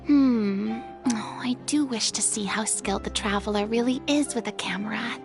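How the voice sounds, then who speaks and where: A woman playing a proud but friendly young former celebrity in a video game, with an expressive, slightly haughty manner. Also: on the high side, normal speed, lightly acted. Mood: doubtful, probing. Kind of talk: English video game voice acting. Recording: music behind the voice.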